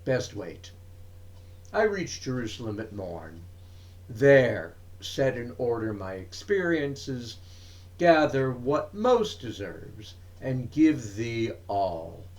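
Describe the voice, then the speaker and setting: A man giving a dramatic reading of verse, in measured, deliberate phrases broken by pauses. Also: mid-range voice, very animated, darkish tone. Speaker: reader of poetry.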